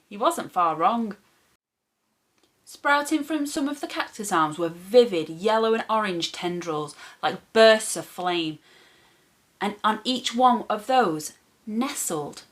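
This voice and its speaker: A woman reading a children's story aloud as a storyteller, with expressive, animated phrasing for young listeners. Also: medium pitch.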